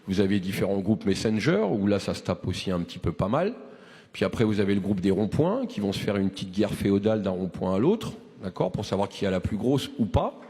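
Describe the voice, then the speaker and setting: A man's voice: fairly low pitch, mellow, long reverb tail. A man in his forties giving an impassioned, rousing address to a movement, full of restarts and rhetorical questions. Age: 40s. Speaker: activist speaker.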